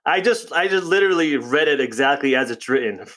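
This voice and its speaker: A man's voice: on the high side, animated, brisk, a bit bright. A man hosting a casual podcast interview, chatty and upbeat. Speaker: podcast host.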